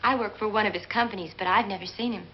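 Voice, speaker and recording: A woman's voice: medium pitch, quick pace, a little hiss or hum, close to an everyday voice. An actress playing a polite young working woman, a guest in a 1950s horror film. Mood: polite, uneasy. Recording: dull vintage film sound.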